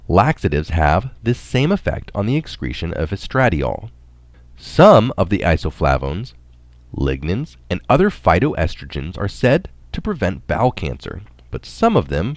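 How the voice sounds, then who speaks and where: A man reads a science article aloud as a narrator. His voice sits low and moves up and down a great deal in pitch. The sound is dry, with hardly any room to it. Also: dry recording.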